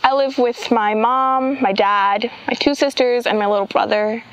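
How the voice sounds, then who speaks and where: A woman's voice: on the high side, fairly even intonation, unhurried, a little hiss or hum, low-quality recording. A teenage girl speaking candidly about her family in a documentary interview. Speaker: student.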